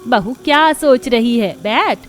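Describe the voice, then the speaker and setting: A woman's voice: fairly high pitch, expressive, takes it slowly, faint music behind. A woman playing a kindly middle-aged mother-in-law in a cartoon story. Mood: motherly.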